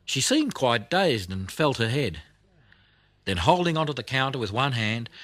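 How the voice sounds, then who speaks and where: A man reading a children's audiobook as narrator, telling the story expressively with deliberate emphasis. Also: mid-range voice, a bit bright, no room sound.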